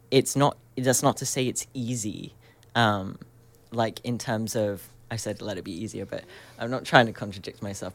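A man in his twenties, a radio guest, speaking reflectively and searchingly with many pauses and soft laughs. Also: on the low side. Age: 20s. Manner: searching and thoughtful, many ums, laughs often. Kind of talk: reflective radio interview talk.

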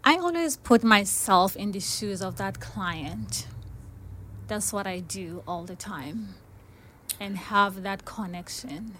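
A woman from Africa, a social worker answering a guest's questions in earnest, simple, halting sentences. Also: mid-range voice, expressive, fairly bright tone. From South Africa.

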